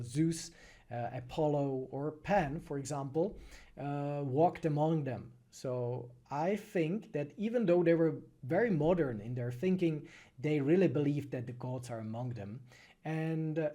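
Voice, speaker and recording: A Czech man with a soft, mellow voice that sits a little higher than average. He talks casually as a streamer answering questions from chat. His delivery is thoughtful and hesitant, with frequent pauses as he finds his words in accented English. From Czech Republic. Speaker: animated film creator and streamer.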